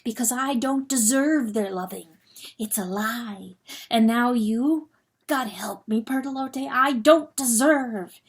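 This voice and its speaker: Woman voicing an anguished rooster, high and wailing. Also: takes it slowly. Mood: weak, ashamed. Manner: wailing, choked, self-loathing. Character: anguished guilt-wracked male rooster, once a proud lord. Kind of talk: dramatic audiobook reading of animal fantasy.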